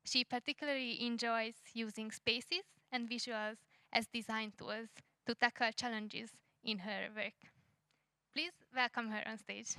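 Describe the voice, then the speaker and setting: A woman's voice: on the high side, fairly even intonation, unhurried, pushed and bright, clean tone, dry recording. A woman hosting an event and introducing the next speaker from a script, with a few fillers. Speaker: event host.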